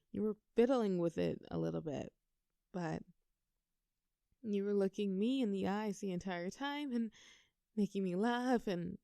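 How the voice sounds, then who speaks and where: Casting: young woman acting as a doting, teasing girlfriend for intimate audio roleplay; mellow tone, very dry close sound. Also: mid-range voice, vocal fry, no echo. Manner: playful, coaxing, talking tenderly to a partner.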